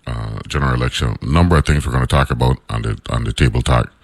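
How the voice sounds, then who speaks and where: Radio host from the Virgin Islands: very deep baritone man, dry close studio sound, loose conversational monologue. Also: fast talker, dry recording. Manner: conversational, many fillers and "right?" tags. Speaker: radio talk show host.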